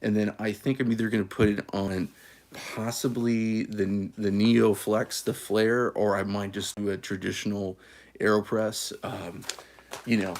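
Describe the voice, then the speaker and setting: A man's voice: on the low side, little pitch movement, unhurried, darkish tone. A man from California hosting a casual coffee live stream, easygoing and folksy, with many fillers and restarts. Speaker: coffee channel host.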